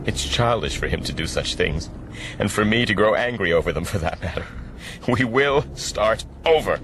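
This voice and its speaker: An actor playing an educated, determined castaway in a radio drama, earnest and articulate. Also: male voice, lowish voice, lively intonation, moderate pace. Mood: resolute. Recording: sea and boat noise, music behind.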